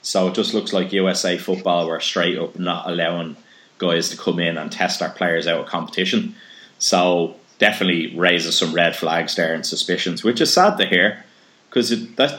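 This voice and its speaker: Deep-voiced man, echoey room. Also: fairly bright tone. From Ireland. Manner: chatty, reads news aloud. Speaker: podcast host. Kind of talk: casual podcast interview.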